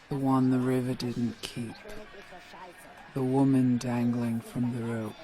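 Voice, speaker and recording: A woman playing a defiant, tormented young woman in an experimental audio play, often hushed and whispering, intense. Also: extremely low pitch. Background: music.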